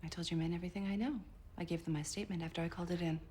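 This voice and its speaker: A woman's voice: lowish voice, steady tone, very fast, darkish tone, slight background noise, natural voice. An actress playing a wry, self-assured 1920s female private detective with a dry manner. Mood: cool, guarded. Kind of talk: television period drama acting.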